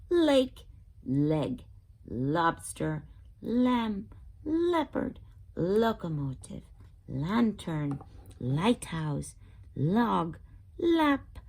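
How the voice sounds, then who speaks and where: Slow, animated woman reading to children. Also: medium pitch. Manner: expressive, asks listeners questions. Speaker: children's read-aloud channel host. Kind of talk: storybook read-aloud for young children.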